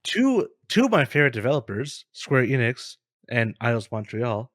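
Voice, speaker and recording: A man with a medium-pitched voice co-hosts a gaming show. He chats informally and earnestly, with an appreciative way of talking, in a dry recording free of room echo. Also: big pitch swings, moderate pace, fairly bright tone. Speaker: co-host of a gaming news show. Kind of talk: casual chat.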